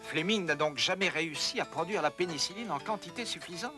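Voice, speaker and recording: A man's voice: on the high side, expressive, fairly quick, music in the background, lightly acted. A male actor playing a cautious, earnest research scientist, polite in manner, in a dubbed educational cartoon. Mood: earnest, hopeful.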